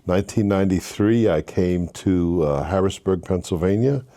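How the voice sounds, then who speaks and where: An older man from Haiti, a guest telling his life story with earnest faith, with halting restarts. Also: low voice, dark tone, no room sound, studio quality. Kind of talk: interview, personal story.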